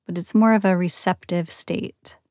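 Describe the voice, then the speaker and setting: A woman leading a guided meditation in a soothing, gentle, unhurried manner with long pauses between phrases. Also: lowish voice, steady tone, dry recording, studio quality. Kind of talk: guided yoga nidra meditation.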